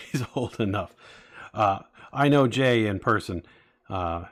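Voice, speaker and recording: A man's voice: lowish voice, lively intonation, slow, fairly bright tone. A man in his forties chatting casually with live-stream viewers, rambling with many fillers as he talks. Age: 40s. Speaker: streamer building toy sets.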